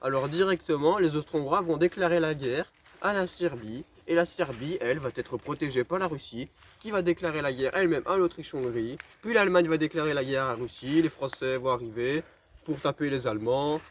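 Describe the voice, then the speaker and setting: A man's voice: fairly high pitch, a little fast. A young man explaining history in a mock-educational comedy sketch, in a crude, swearing, schoolmasterly way. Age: teens or young adult. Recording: homemade video with faint noise.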